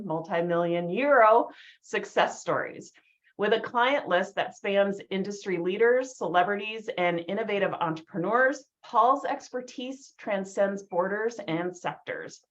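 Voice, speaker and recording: A woman hosts a podcast, reading out her guest's introduction and then chatting eagerly. Her audio sounds a little dull and muffled. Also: medium pitch. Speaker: podcast host. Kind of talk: scripted introduction, then casual interview chat.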